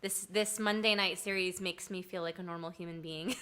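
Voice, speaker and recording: A woman's voice: on the low side, fairly even intonation, moderate pace, fairly bright tone, clean tone. A woman from Massachusetts, a singer-songwriter, chatting on a livestream video call in a bubbly, giggly way. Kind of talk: casual chat on a livestream.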